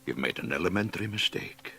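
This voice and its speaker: An actor playing a sinister, mocking tempter in a radio drama, coaxing with silky, sardonic theatricality and a gravelly, husky voice. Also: male voice, sits low, expressive, quick pace, sharp tone, a little hiss or hum, full character voice. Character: sinister, mocking, seductive male tempter coaxing a despairing man.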